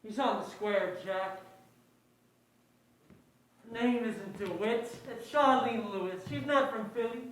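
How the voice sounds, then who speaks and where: Actress as wry wisecracking detective's colleague, clipped stage delivery, heavy echo, distant mic. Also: female voice, fairly high pitch, slow, pauses often, slight background noise. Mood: grim, wry. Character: brusque tough detective's colleague, blunt and wisecracking. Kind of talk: noir stage acting. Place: indoor stage play performance.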